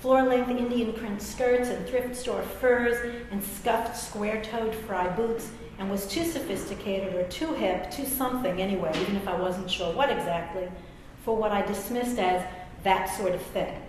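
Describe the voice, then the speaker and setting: A woman's voice: medium pitch, normal speed, hardly any pauses, darkish tone. American woman, middle-aged, wry author at a live reading; very reverberant hall, faint noise. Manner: wry, self-deprecating, conversational. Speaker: author and English professor. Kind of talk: public reading of a personal essay, with asides.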